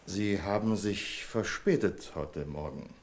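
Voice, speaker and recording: An actor playing a pedantic, sarcastic office boss in a German radio drama, with pompous, exaggeratedly formal politeness. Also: male voice, deep voice, takes it slowly. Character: pedantic, sarcastic male office boss, nagging about punctuality.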